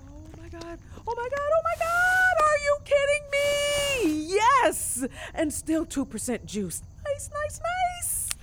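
Anxious, sardonic woman, animated delivery. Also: very high-pitched, slow, hardly any pauses, very noisy, full character voice. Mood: frantic relief. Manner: hesitant, wry, expressive. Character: anxious, sardonic gig-worker woman, freshly suspended from her job. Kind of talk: naturalistic audio drama acting.

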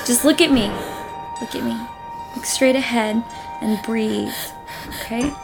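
An actress playing a level-headed young college woman who talks in a calm, soothing way. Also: fairly high pitch, measured pace, vocal fry, music in the background. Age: young adult. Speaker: college student.

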